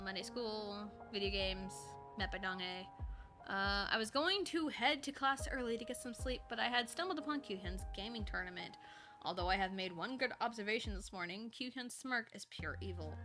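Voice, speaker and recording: A woman's voice: medium pitch, bright, forceful tone. A woman casually reading a first-person story aloud on a live stream, informally, with ad-libbed asides and lightly voiced dialogue.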